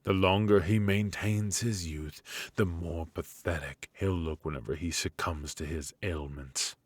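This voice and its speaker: A man playing a bitter, haughty royal mage in an intimate fantasy ASMR roleplay, speaking in a measured, aristocratic, dryly mocking way. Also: low-pitched, fairly even intonation, husky. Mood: bitter, sardonic. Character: bitter, sardonic, haughty royal mage passed over for succession. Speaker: amateur voice actor.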